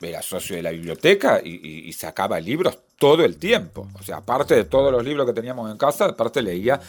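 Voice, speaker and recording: A man's voice: mid-range voice, sing-song, brisk. A man, reflecting on his reading and libraries with many restarts. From Argentina. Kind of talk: personal interview testimony.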